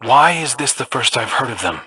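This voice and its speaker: An actor voicing a wary, earnest, brooding young man in a video game, with a husky voice. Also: mid-range voice, very animated, moderate pace, pushed and bright, exaggerated voice. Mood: wary, uneasy. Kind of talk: scripted video game dialogue.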